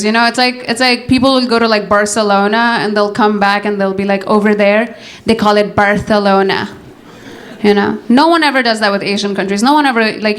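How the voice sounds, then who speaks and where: A young adult woman from Nepal doing a stand-up comedy set, with a deadpan delivery that keeps tacking on "you know?" and "all right?". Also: mid-range voice. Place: comedy club stage with laughing audience.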